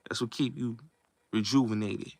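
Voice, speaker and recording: A man's voice: mid-range voice, fairly even intonation, normal speed, vocal fry, a little mellow, no echo. A man in his late twenties hosting a casual livestream, speaking emphatically and repeating himself as he addresses viewers directly. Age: late 20s. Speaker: host. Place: New York.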